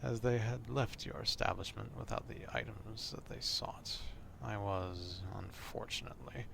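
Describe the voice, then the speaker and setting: A man playing an obsessive, old-fashioned vampire stalker in an ASMR roleplay, speaking formally in a hushed half-whisper, close to the microphone. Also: lowish voice, slight background noise, heavily acted. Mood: quietly menacing. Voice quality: hushed, breathy half-whisper with gravelly fry. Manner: slow, deliberate, formal archaic wording.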